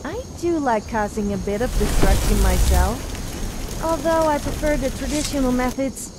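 A woman's voice: high-pitched, moderate pace, lightly acted. An actress playing a cheeky female companion with a taste for destruction in a fantasy game. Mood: sly, playful. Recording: noisy game audio under player's mix.

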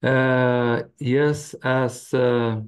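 A man's voice: medium pitch, level tone, extremely slow, smooth. A man in his forties from Sweden with a Swedish accent, giving a careful academic talk over a video call with many hesitations. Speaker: guest speaker.

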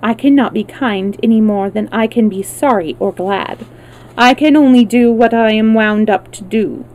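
A woman voicing an unemotional male wind-up machine in a storybook, blunt, measured and matter-of-fact. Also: on the high side, darkish tone, lightly acted, poor audio.

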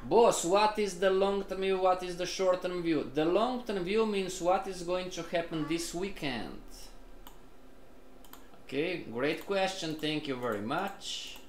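A man's voice: high-pitched, measured pace, roomy sound, a little hiss or hum. A man teaching a live online trading class, repeatedly questioning and prompting his students as a group. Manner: repetitive, questioning, addresses the group often.

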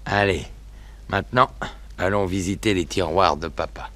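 A man playing a smooth young villain who talks low and hushed in a radio drama. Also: steady tone, normal speed. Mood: sly.

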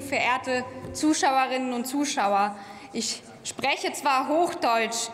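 A young woman gives a formal, earnest prepared speech in parliament, speaking at a lectern microphone. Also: high-pitched. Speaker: member of parliament and government commissioner. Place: large parliament chamber. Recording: roomy, echoing hall.

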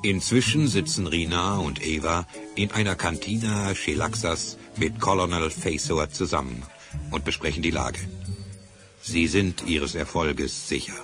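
An actor as the storyteller of a German audio drama, giving scripted dramatic narration. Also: male voice, low voice, measured pace, music in the background. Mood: narrating.

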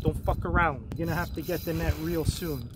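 A man's voice: a little high, fairly quick. A man vlogging from a fishing boat, teasing his wife in cocky, joking banter and hyping up his catches. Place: open boat on a big lake, outdoors. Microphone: action camera on the boat. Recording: noisy outdoor boat audio. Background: wind and water noise.

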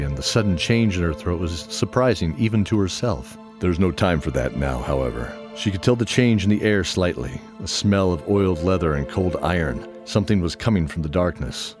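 A man giving scripted narration for a fantasy audio drama. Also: sits low. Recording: music under the voice. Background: music.

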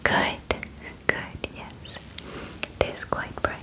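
Woman playing a calm clinical alien examiner, soft breathy whisper, slow deliberate delivery, formal, ASMR roleplay, close mic. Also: lightly acted. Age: young adult. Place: bedroom, indoors. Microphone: close sensitive microphone.

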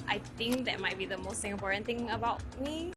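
A woman's voice: mid-range voice, animated, a little fast, over music. A young woman cheerfully answering an interview question.

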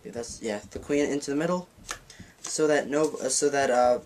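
A man talks through a card trick while handling the deck, casual and a bit rambling, often restarting his sentences. His voice has a middle pitch, and the sound comes from a camera mic above a dark table. Faint noise and card clicks sit behind him. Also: moderate pace. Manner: rambling, self-correcting, demonstrating while talking. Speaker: magic teacher. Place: dim room at a dark table.

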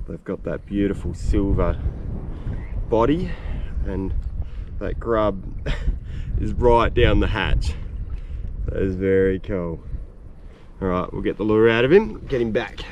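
A man in his thirties with an Australian accent, hosting a fishing show and chatting casually while wading a sand flat outdoors. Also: on the low side, background noise. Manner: relaxed, enthusiastic, drawn-out praise. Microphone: camera-mounted mic, selfie and chest cam.

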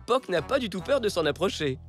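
Father zebra for preschool animation: reassuring, kindly, lively intonation, over background music. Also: high voice, fairly quick. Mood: cheerful. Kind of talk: children's cartoon dubbing. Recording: music under the dialogue.

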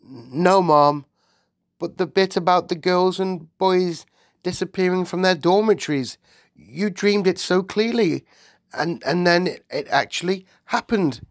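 A woman's voice: on the low side, a little slow, no echo, clean studio sound, pitched above the performer's own voice. A woman voicing a timid, deferential palace maid in an audiobook, stammering and quivering as she speaks. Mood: earnest, anxious.

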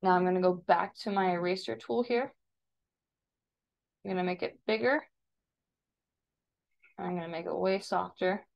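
A woman with a creaky voice, an art teacher casually explaining software step by step in a tutorial voice-over. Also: lowish voice, moderate pace, pauses often. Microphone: screen-recording voice-over. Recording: dull, lo-fi voice-over.

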